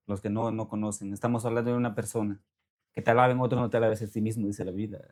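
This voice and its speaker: A man's voice: on the low side, level tone, fast talker, a little mellow. A man hosting a conversational interview show, asking long, winding questions. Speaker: host.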